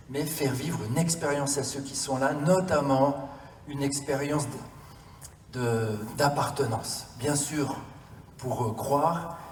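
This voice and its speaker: A mid-pitched man gives a public workshop talk. He is heard from a distance in a very echoey hall, with faint noise behind him. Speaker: workshop speaker. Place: large echoey room.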